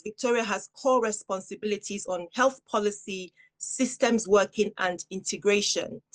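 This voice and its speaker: A woman hosting an interview, giving a formal, welcoming introduction and posing questions. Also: mid-range voice. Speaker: interviewer, host.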